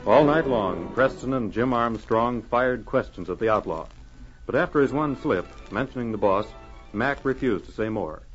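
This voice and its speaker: A man's voice: mid-range voice, expressive, moderate pace. A man narrating an old radio adventure serial in a formal, dramatic storytelling style. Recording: muffled old radio recording with music.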